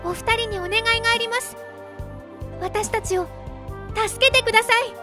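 A woman's voice: extremely high pitch, steady tone, moderate pace, music in the background. A woman voicing an eager, polite young demon-race wizard girl, easily flustered, in an anime radio drama. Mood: earnest, shy.

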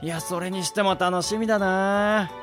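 A man's voice: high-pitched, fairly even intonation, normal speed, fairly bright tone, faint music behind. A man acting a wary, exasperated young guy who grumbles blunt retorts in an amateur radio drama. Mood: casual, upbeat. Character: wary, exasperated young man among drinking buddies, Kenji.

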